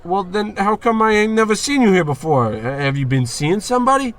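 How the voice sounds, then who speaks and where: A man playing a brash, dim, excitable barfly with slangy, cocky talk in a 1940s radio comedy style. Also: sits high, brisk. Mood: flustered. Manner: slangy, cocky, easily flustered.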